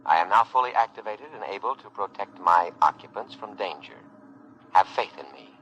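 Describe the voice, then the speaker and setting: Military robot computer, low-pitched, solemn, declamatory, protective, vintage radio drama, lo-fi sound. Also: male voice, animated, a little fast, fairly bright tone. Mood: solemn, reassuring. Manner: formal, pompous, declamatory. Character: solemn, paranoid military lifeboat computer, male, protective and unyielding. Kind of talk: 1950s radio drama acting. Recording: dull, lo-fi 1950s radio recording.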